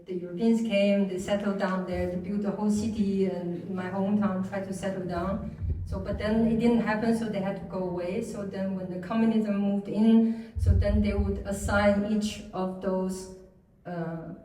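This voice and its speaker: A woman's voice: medium pitch, fairly even intonation, moderate pace, dark tone, slight background noise. Woman from China on an echoey panel. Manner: searching for words, many restarts. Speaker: artist panelist. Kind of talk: panel discussion answer. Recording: echoey room, mic far off.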